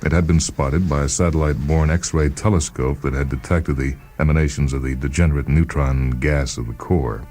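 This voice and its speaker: A very deep-voiced man narrates a short story in the first person. His tone is dark and mellow, and his delivery is measured and deliberate, as in dramatic scripted storytelling. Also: fairly even intonation.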